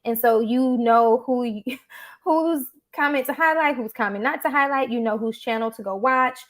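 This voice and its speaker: A woman's voice: on the high side, moderate pace. A woman hosting a live online talk show, chatty and appreciative, with frequent fillers. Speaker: host. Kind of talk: casual livestream chat.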